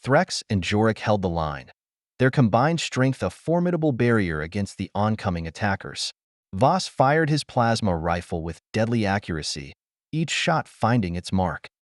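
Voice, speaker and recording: Male audiobook narrator, low pitch, animated intonation, dramatic sci-fi storytelling, earnest. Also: normal speed, dry recording, clean studio sound. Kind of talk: scripted narration of science fiction adventure.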